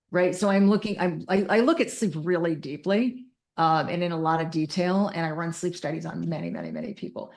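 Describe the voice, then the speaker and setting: A woman's voice: lowish voice, gravelly, darkish tone. A woman explaining things as an expert guest, emphatic and detailed, mixing technical jargon with casual wording. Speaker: podcast guest, mental health clinician.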